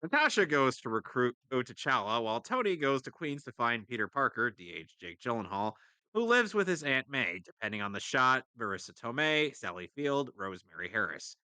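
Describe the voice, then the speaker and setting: A man's voice: mid-range voice, sing-song, a little fast, sharp tone, smooth, dry recording. A man narrating a comic script in a mock-dramatic way with asides, as a co-reader on a live stream.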